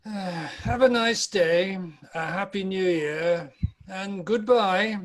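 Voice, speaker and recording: Miserly old Victorian uncle played by a man, scornful and curt, fairly high male voice, very unhurried delivery. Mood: dry, dismissive. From United Kingdom. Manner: curt, dismissive, sarcastic. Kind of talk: amateur table read of a Victorian Christmas story.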